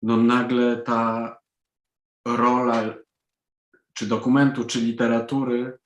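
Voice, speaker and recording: A man from Poland, a native Polish speaker, answering as an author in a panel interview, deliberately and with pauses mid-sentence. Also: medium pitch, little pitch movement. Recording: echoey room sound.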